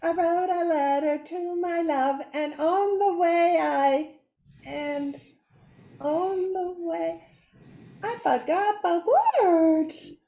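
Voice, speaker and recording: A mellow, high-voiced surfer-dude reindeer acted by a woman from a holiday radio play. The drawl is dreamy and unhurried, with roomy echo around it. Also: fairly even intonation, creaky, exaggerated voice. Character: laid-back, spacey hippie surfer reindeer. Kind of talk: amateur Christmas radio play.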